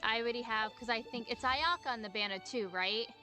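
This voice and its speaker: A woman game streamer with a fairly high, very bright voice. She chats casually as she plays, with faint music in the background. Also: a little fast. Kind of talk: casual chat while gaming. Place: voice call with game music behind.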